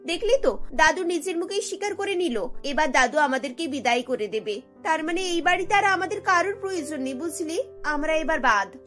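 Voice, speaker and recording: A woman's voice: high-pitched, lively intonation, brisk, fairly bright tone, soft background music. A woman voicing teasing, playfully indignant young granddaughters in a cartoon, exclaiming and mock-complaining. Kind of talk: cartoon character voices.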